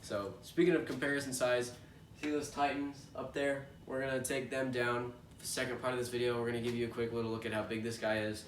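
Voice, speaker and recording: A man's voice: mid-range voice, level tone, brisk. A young man with a congested voice, chatting casually as he unboxes a toy, rambling with lots of fillers. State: congested from allergies. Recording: echoey room, distant mic, noisy background.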